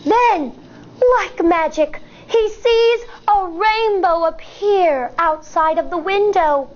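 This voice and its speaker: A woman in her thirties, a teacher, reading a picture book aloud to children in an animated, expressive way with chatty asides. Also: very high-pitched, slow pace, highs cut off. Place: studio in front of a blue backdrop.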